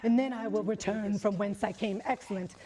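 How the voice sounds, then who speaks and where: A woman's voice: mid-range voice, steady tone, a little fast, mellow, slight background noise. A woman playing a séance medium for a live audience, speaking with theatrical, old-fashioned formality into a handheld microphone. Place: large library event room.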